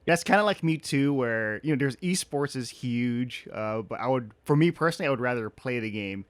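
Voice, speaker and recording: A man hosting a casual interview, asking in a rambling, halting way. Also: medium pitch, lively intonation, smooth, no room sound. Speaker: interviewer, host.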